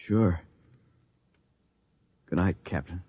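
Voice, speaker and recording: A man's voice: deep voice, very slow, slightly rough, no echo, faint music behind, exaggerated voice. An American actor in a 1950s radio drama playing an earnest, skeptical expedition crewman, plain-spoken and argumentative. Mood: anxious, pleading. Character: earnest, skeptical male subordinate on space expedition. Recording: muffled, dry vintage radio recording.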